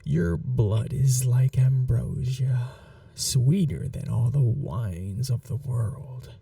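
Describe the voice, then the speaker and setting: A woman playing a possessive, seductive vampire noblewoman in an intimate ASMR roleplay, speaking softly and breathily, often whispering, with a languid, coaxing manner. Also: extremely low pitch, vocal fry, no echo, recorded from a distance, full character voice. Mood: hungry, teasing. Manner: slow, languid, teasing and coaxing.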